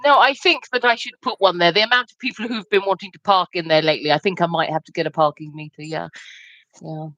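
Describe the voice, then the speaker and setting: A woman's voice: fairly low pitch, steady tone, quick pace, a bit bright, no room sound, rough recording. A woman from London co-hosting a livestream, cheeky and teasing, often laughing. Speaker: livestream co-host. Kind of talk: casual banter.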